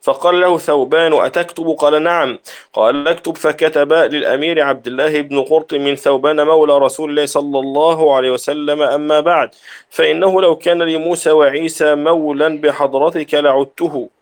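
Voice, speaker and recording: A man reads a classical scholarly text aloud in a slightly high-pitched voice. His delivery is formal, like a student reciting from a book during a religious lesson. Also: fairly even intonation, fairly quick.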